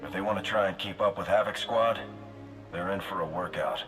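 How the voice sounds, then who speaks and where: An actor playing a steady, confident male soldier in a video game, talking directly and firmly. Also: deep voice, a little fast, natural voice. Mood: steady, concerned. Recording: clear music behind dialogue.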